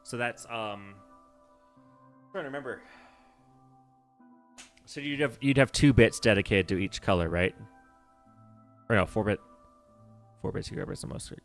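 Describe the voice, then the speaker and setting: A man's voice: on the low side, a little slow, pauses often, faint music behind. A man chatting casually on a game livestream, rambling and correcting himself as he goes, with a nerdy, laughing manner. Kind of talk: casual livestream chat while playing.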